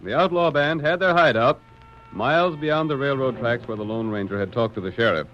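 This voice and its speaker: A man's voice: mid-range voice, brisk, fairly bright tone, close to an everyday voice. A man narrating a scripted old-time radio western. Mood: dramatic. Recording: dull, hissy old radio broadcast.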